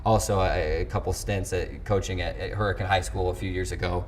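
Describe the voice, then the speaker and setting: Guy hosting a sports chat show, really low voice, wordy and halting, bit of background noise. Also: fairly even intonation. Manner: wordy, halting phrasing with repeated words. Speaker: sports talk show host. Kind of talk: conversational interview hosting. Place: television studio.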